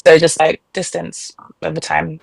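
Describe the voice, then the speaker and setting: A woman's voice: sits low, monotone, a little slow, gravelly, darkish tone, slightly rough, no room sound, poor audio. Rambling young woman. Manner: rambling, heavy use of "like". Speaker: guest. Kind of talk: casual personal storytelling.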